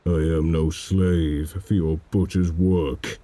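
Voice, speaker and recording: A man voicing a grim, defiant Puritan adventurer in a verse story, dark, sombre and deliberate. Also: a bass voice, steady tone, no room sound, pitched below the performer's own voice. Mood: dark defiance.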